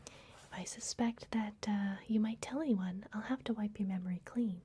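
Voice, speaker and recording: A woman's voice: medium pitch, a little hiss or hum, close to an everyday voice. Whispering woman as a secretive vampire librarian. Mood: secretive, welcoming. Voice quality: breathy, mostly unvoiced whisper. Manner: soft, gentle, unhurried, confiding. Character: secretive, soothing female vampire librarian in a hidden fantasy library. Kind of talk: ASMR roleplay. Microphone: close ASMR microphone.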